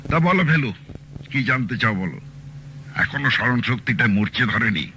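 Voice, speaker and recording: A man's voice: medium pitch, expressive, moderate pace, bright, forceful tone, a little hiss or hum. A man playing a chatty, teasing seventy-three-year-old know-it-all in an old radio drama, talking emphatically. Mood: jovial. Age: 70s. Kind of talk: 1970s radio drama acting. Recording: old radio recording with music.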